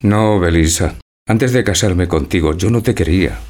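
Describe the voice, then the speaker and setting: A man's voice: low-pitched, normal speed, darkish tone, close to an everyday voice. A man playing a timid, besotted older newlywed husband in a radio drama, earnest and hesitant. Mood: hesitant, lovestruck. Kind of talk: stage-like radio drama acting.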